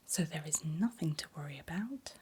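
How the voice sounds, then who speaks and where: A middle-aged woman whispering breathily in a gentle, reassuring ASMR medical exam roleplay, playing a doctor giving close personal attention. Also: deep voice, expressive, moderate pace, slight background noise.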